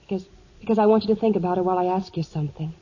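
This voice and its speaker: Actress for a lovelorn but bold frontier woman, American, husky and direct, muffled vintage radio play sound. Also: fairly low pitch, very fast, warm tone, lightly acted. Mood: yearning. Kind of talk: 1940s radio drama acting. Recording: muffled 1940s radio broadcast recording.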